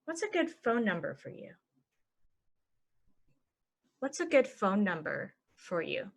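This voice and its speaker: A woman teaching English phrases, saying each one and then repeating it slowly and clearly for learners. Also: medium pitch, big pitch swings. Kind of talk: slow phrase-by-phrase language lesson.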